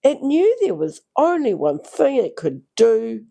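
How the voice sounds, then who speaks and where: A woman reads a rhyming storybook aloud for young children. Her pace is unhurried and her pitch swings widely, with some creak in the voice. She draws out phrases theatrically. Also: big pitch swings, mellow. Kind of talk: expressive read-aloud of a rhyming picture book.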